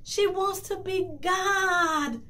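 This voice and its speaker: A woman's voice: high voice, big pitch swings, slow, pitched above the performer's own voice, full character voice. Frightened fisherman in a storybook, a woman putting him on, meek and pleading. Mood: timid. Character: timid, frightened old fisherman. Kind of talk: children's storybook reading.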